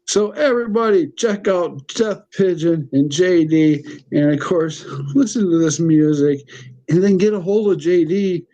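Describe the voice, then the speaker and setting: Folksy, mellow-voiced podcast host. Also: male voice, high-pitched, unhurried, highs cut off. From United States. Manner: enthusiastic, folksy, laughs often. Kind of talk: casual interview chat.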